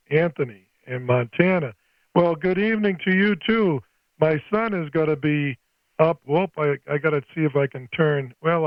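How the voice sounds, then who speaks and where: Older male radio hobbyist, folksy and a bit scattered, slightly high voice, dry close recording. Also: no echo, muffled recording. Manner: folksy, scattered, thinks aloud. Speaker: amateur radio operator making a video. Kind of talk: casual two-way radio chat.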